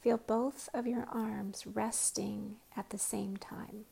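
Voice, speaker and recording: Woman meditation guide, soft and often whispered, gravelly, flat, unhurried. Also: medium pitch.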